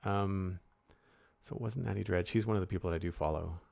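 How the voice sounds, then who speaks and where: A man co-hosts a podcast in a relaxed chat. His voice sits fairly low, and he rambles and restarts his sentences often. He is recorded dry and close to the mic. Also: brisk, gravelly, dry recording. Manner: rambling, many restarts and fillers. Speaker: podcast co-host.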